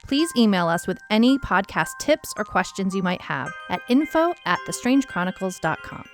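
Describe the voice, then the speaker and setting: A woman's voice: medium pitch, very animated, moderate pace, over music. A woman co-hosting a podcast in an informal, conversational style. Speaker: podcast co-host. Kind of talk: casual co-host chat. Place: home room padded with blankets and rugs.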